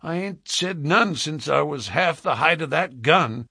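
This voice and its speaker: A man voicing a weary, hardened adventurer who speaks gruffly in plain, rustic frontier speech, as in a storybook. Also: expressive, unhurried, bright, forceful tone, dry recording, clean studio sound, full character voice. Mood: diffident, earnest. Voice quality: deep, harsh voice.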